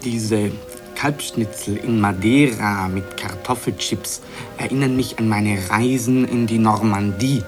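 A man playing a pensive, self-absorbed gentleman diner in an absurdist radio play, speaking hesitantly and deliberately. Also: on the low side, steady tone, music in the background, lightly acted. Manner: hesitant, deliberate, ponderous with pauses.

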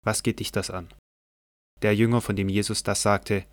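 A man's voice: on the low side, level tone, moderate pace, no room sound. A man narrating a Bible audio drama from a script.

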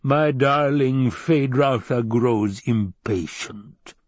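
A man's voice: mid-range voice, slow, dry recording. Man acting a pompous, mocking villain baron. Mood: silky condescension. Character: domineering, sardonic older male villain baron, coldly menacing uncle.

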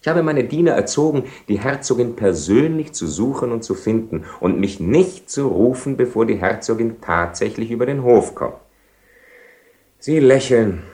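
Actor as sardonic Don Juan in a roomy echo. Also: male voice, mid-range voice, animated, moderate pace, dark tone, natural voice. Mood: weary, irritable. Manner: ironic, declamatory. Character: Don Juan, proud mocking seducer, world-weary and theatrical. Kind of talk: German radio play acting. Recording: roomy echoing 1970 radio studio.

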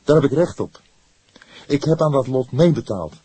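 A man's voice: mid-range voice, expressive, moderate pace, mellow, slightly rough, no echo, voice pushed up. A man voicing an earnest, defensive young man under questioning, speaking emphatically in acted audiobook dialogue. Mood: fierce, defiant. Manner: emphatic, flaring into defiance.

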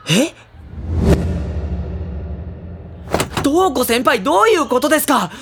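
A woman playing an exasperated, wry high-school boy in an audio drama, given to dry retorts and flustered protests. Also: big pitch swings, brisk, very noisy. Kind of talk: anime-style audio drama acting.

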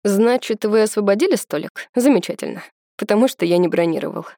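A woman's voice: mid-range voice, animated, a little fast, creaky, dark tone, no room sound, studio quality. A woman voicing a mocking young ex-boyfriend in an audiobook who talks in a teasing, sarcastic way.

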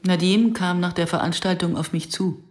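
A woman's voice: lowish voice, fairly even intonation, normal speed, darkish tone, faint music behind, close to an everyday voice. An actress playing a wry, self-questioning Israeli woman writer who narrates a radio drama in a dry, reflective, intimate way. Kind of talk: radio drama acting with first-person narration.

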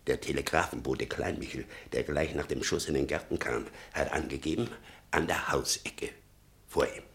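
Very deep, gravelly bitter old man. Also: normal speed, a bit bright, full character voice. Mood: bitter, brooding. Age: older. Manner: rambling, sardonic, muttering and flaring up. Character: bitter, sarcastic old father of a convicted son. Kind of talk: German radio play acting.

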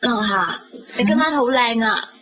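A woman playing a timid, anxious, gentle young daughter in a Hong Kong Cantonese radio drama, soft-spoken. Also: on the high side, moderate pace. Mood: soft, hesitant. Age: young adult. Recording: dull 1990s radio recording, music behind.

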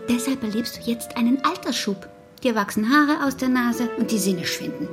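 A nagging domestic partner in a radio play, acted by a woman. Her voice is fairly high, her manner scolding and matter-of-fact, with clear music behind her. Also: normal speed, lightly acted. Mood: chiding. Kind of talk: radio drama acting.

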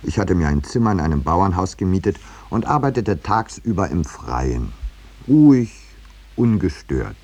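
An actor playing an urbane, slightly pompous middle-aged painter who tells his story with measured irony in a 1950s radio play. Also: low voice, very animated, darkish tone, husky, exaggerated voice. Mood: wry, reflective. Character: landscape painter Eduard Merlin, urbane wry storyteller, looking back.

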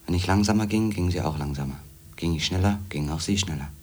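An actor playing a brooding investigator who narrates soberly in the first person in a radio drama, measured and husky. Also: male voice, deep voice, a little mellow. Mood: sober, watchful.

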